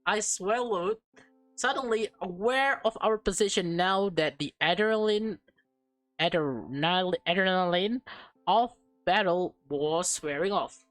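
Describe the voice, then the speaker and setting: Slow-reading woman gamer over music. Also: fairly low pitch, dry recording, rough recording. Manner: stumbles over words while reading, repeats phrases; slangy, excitable reactions. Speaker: let's player. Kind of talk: reading a visual novel aloud with casual reactions.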